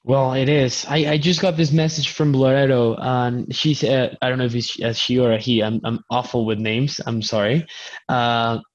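A man with a Colombian accent hosts a loose online chat, his voice at an ordinary middle pitch. He talks chattily, trips over his words and restarts them, and pokes fun at himself. The video call audio sounds dull and lo-fi. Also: flat delivery, moderate pace. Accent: Colombian Spanish. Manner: chatty, stammering restarts, self-deprecating. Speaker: host.

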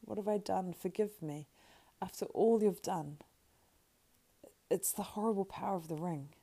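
A woman voicing an anguished male hobbit ring-bearer in a storybook, halting and intense. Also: mid-range voice, vocal fry, warm tone, pitched above the performer's own voice. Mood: remorseful.

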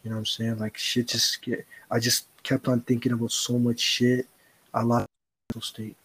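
A man's voice: medium pitch, monotone, normal speed, fairly bright tone, breathy edge, rough recording. A young man co-hosting a podcast, talking earnestly in casual chat, rambling with slang and swearing. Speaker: podcast co-host.

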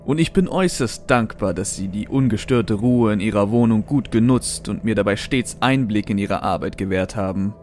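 A man playing a treacherous, condescending scientist in an audio drama, quietly piercing and fanatically devoted to his master. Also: fairly low pitch, normal speed, hardly any pauses, slight background noise, close to an everyday voice. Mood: smug, scornful. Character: traitorous male scientist mentor, cold, gleeful, fanatically devoted.

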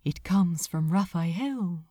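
A woman voicing a gentle, wistful male angel in an audiobook story, speaking softly and affectionately, often dropping to a whisper. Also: on the low side, expressive, takes it slowly, no echo, studio quality, voice pushed down. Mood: cheerful, casual. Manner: soft, often whispered, hesitant.

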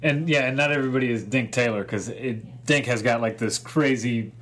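Relaxed man in his thirties. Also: mid-range voice, a little fast. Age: 30s. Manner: relaxed, uses filler words like "like" and "just". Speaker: co-host. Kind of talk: casual chat.

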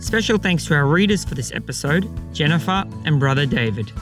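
A man's voice: fairly high pitch, expressive, fairly quick. A man reading scripted podcast announcements and instructions to listeners. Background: guitar music.

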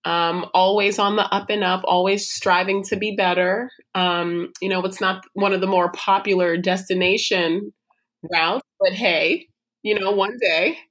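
A young American woman co-hosting a casual podcast with a bright, clear voice. She tells celebrity gossip in a chatty stream, full of fillers like "um" and "like". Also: mid-range voice, steady tone, measured pace. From United States. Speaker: podcast co-host. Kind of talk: casual chat.

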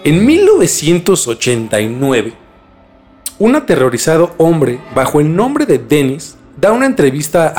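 A man's voice: on the high side, a little mellow. A man hosting a mystery podcast in a conversational presenting style. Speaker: podcast host.

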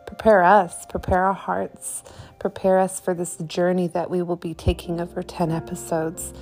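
Slow, mellow-voiced woman hosting a faith podcast. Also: fairly low pitch. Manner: slow, earnest, with soft trailing phrases. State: slightly croaky from a cold. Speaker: podcast host. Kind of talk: devotional podcast talk and prayer.

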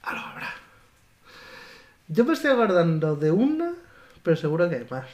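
A high-pitched man speaks in a loose, amateur podcast chat. He laughs easily and reads out listener comments between tangents. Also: sing-song, normal speed, darkish tone. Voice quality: higher voice than his co-host. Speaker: podcast co-host. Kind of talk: casual chat.

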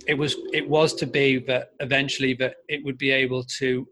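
A man with a Yorkshire accent talks as a guest in a relaxed interview. His pitch barely moves, sitting in an even, level line, and he fills his sentences with ums, uhs and false starts as he thinks aloud. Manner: hesitant, many fillers and restarts. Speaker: podcast guest, business founder. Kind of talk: casual interview conversation.

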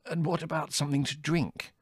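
A man voicing a soft, exhausted, put-upon man with a parched voice, plaintive and stiffly indignant. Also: on the high side, level tone, a little fast, no echo, studio quality, voice pushed up. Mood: hoarse, plaintive. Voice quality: parched, hoarse.

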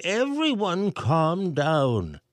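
A man's voice: fairly high pitch, huge pitch swings, very slow, no echo, pitched above the performer's own voice, exaggerated voice. A man voicing a flustered male town mayor in a storybook, reading a warning letter aloud in a raised, urgent voice. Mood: pleading.

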